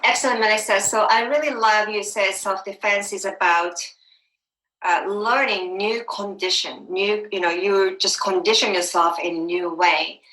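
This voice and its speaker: Woman, host, candid, halting, bright tone, echoey room. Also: mid-range voice, steady tone. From Japan. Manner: candid, personal, halting phrasing. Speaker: summit host. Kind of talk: interview conversation.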